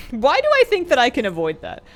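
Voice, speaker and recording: A woman in her thirties chatting casually while she plays a game, rambling and halting with restarts and soft laughs. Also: sits high, wildly swooping pitch, soft background music. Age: 30s. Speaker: streamer. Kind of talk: casual chat while gaming.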